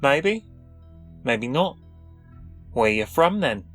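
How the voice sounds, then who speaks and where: A man's voice: mid-range voice, very animated, extremely slow, fairly bright tone, faint music behind, heavily acted. A man voicing a tall, suspicious farming villager with a confrontational, folksy way of talking, in a fantasy audio story. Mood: accusing, taunting. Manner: confrontational, folksy rural phrasing. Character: suspicious, menacing small-town farmer mage, a tall man.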